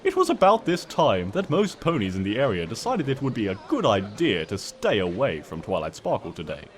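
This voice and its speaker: A man's voice: fairly low pitch, huge pitch swings, fairly quick. A man narrating a comic story in a theatrical, smug and wry manner.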